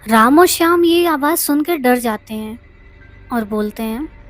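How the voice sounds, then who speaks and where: A woman's voice: high-pitched, expressive, unhurried. A woman narrating a scripted story as a storyteller. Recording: music behind the voice.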